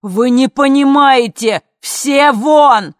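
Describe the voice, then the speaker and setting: A woman voicing an anxious, exasperated man on the edge of panic, with trembling, drawn-out words. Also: high-pitched, dry recording, clean studio sound, voice pushed up. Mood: furious panic.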